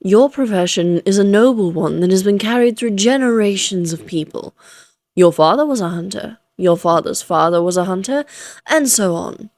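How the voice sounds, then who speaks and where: A woman's voice: medium pitch, expressive, moderate pace, darkish tone, no room sound, close to an everyday voice. A woman playing a playful, flirtatious immortal vampire in a Victorian fantasy audio roleplay, teasing in genteel, archaic phrasing. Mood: haughty, taunting.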